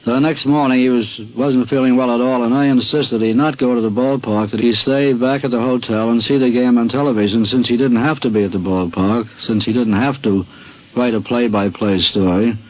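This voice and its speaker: Medium-pitched man telling anecdotes, muffled recording. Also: fairly even intonation, fairly quick. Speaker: sportswriter, interviewee. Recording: dull vintage radio recording.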